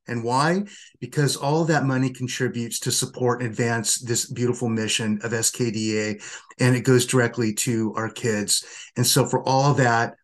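An older man, a school board member, giving an earnest, upbeat prepared address from a home office over a webcam. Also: mid-range voice.

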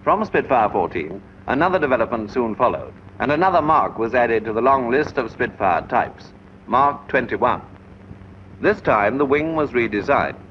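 A man reading scripted narration for a 1940s military training film in a measured, instructive way. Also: mid-range voice, lively intonation. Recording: dull noisy 1940s film soundtrack.

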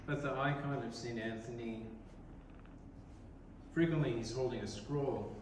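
A man in his thirties, an Orthodox priest, giving a reflective sermon with pauses, recorded from a distance in a large church. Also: mid-range voice, steady tone, darkish tone. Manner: slow, reflective, with pauses and restarts. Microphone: distant camera in the congregation. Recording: echoey church from far off, background noise.

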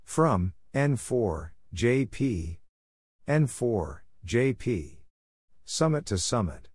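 A man giving a scripted instructional readout of radio exchanges in deliberate, clipped phrases, spelling out call signs. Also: medium pitch, very animated, darkish tone, breathy edge, no room sound. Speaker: Morse code practice narrator.

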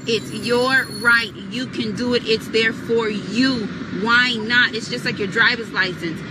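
A woman in her thirties filming a vlog with a handheld camera, explaining slowly and deliberately as she walks through a shopping mall. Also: a little high, fairly even intonation, background noise. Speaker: vlogger. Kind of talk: vlog walkthrough. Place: car, then large indoor shopping mall. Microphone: handheld camera at arm's length.

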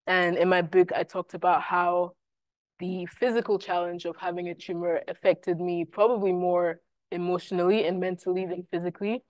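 Soft-spoken Scottish woman moderating a panel. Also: fairly low pitch, steady tone, normal speed, no room sound. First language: German. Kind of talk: panel discussion. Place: university classroom, indoors.

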